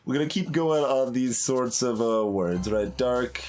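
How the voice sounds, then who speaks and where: Man, mid-range voice, casual let's play commentary, slow pace, musing, trails off mid-thought. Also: mid-range voice, lively intonation, darkish tone. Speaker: streamer, let's play host. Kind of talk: casual live game commentary, thinking aloud. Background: game music.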